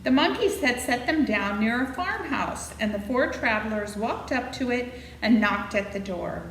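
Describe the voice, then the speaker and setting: A woman reading a children's story aloud in an expressive, playful way, with dramatic emphasis and asides to her listeners. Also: a little high, moderate pace. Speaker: library storytime reader. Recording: echoey room with faint noise.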